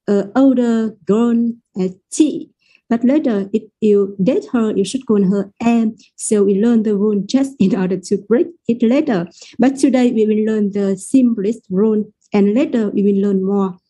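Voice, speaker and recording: A woman's voice: medium pitch, dark tone, rough recording. A woman with a Vietnamese accent, a native Vietnamese speaker, teaching the language in English with careful explanations. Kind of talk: explaining pronunciation in a lesson.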